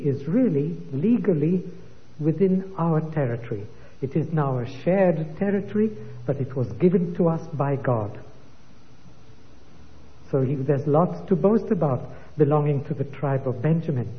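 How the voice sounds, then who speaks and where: A man delivers a sermon, slow and emphatic, weaving quoted scripture into his teaching. His voice sits a little high for a man. It comes through thin and phone-like, with a long reverb trailing off in a big hall. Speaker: preacher.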